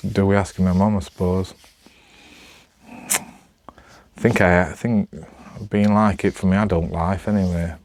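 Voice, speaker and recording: A man's voice: deep voice, little pitch movement, measured pace, long pauses, a little mellow, slight background noise. A man with a Northern English accent chatting casually to camera, rambling in a hesitant, self-deprecating way. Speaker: amateur vlogger.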